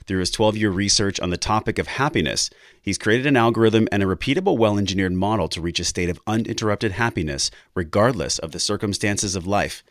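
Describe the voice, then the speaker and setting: A young adult man hosts a wellness podcast in a deep voice with a dry, close sound. He is upbeat and effusive, praising his guest and asking long, winding questions. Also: a little fast, no room sound. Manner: enthusiastic, effusive. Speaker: podcast host. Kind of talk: scripted intro then interview questions.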